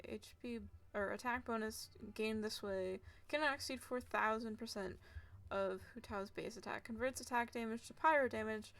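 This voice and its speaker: A young woman live-streaming a game, giggling and reading game text aloud haltingly. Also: on the high side, creaky. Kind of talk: casual live-stream commentary.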